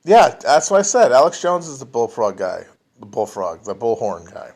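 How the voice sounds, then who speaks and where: A man's voice: mid-range voice, very animated, normal speed, a little mellow. Rambling, profane man chatting. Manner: rambling, profane, lots of restarts and trailing off. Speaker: podcast host answering chat. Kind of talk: casual livestream chat. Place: home, alone at a phone or computer.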